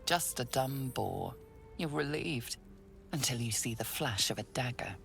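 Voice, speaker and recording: An actress playing a grave fantasy game narrator, speaking in a hushed, deliberate and ominous way. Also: female voice, a bass voice, expressive, creaky, faint music behind, exaggerated voice. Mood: dry, ominous. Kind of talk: dramatic second-person game narration.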